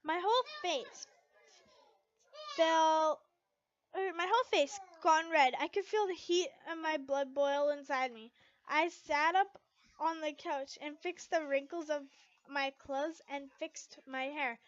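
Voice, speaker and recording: A woman's voice: high voice, slow, dry recording. A young woman reading fan fiction aloud in a dramatic, amateur way, now and then stumbling over a line. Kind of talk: amateur expressive story read-aloud. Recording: dull, lo-fi home recording.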